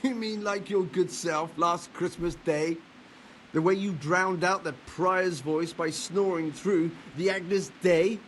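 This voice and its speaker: A hearty, sly old monk. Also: male voice, high voice, steady tone, slow pace, a little hiss or hum, low-quality recording. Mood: jovial, teasing. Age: older. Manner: hearty, colloquial, coaxing. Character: jovial, sly older medieval monk who loves wine. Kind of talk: video game cutscene acting.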